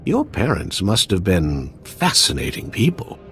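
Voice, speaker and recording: An actor playing an eccentric, courteous scientist in a video game, formal, precise and theatrical with a dry wit. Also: male voice, low-pitched, wildly swooping pitch, unhurried, creaky, a bit bright, faint music behind, full character voice. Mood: dry, wry.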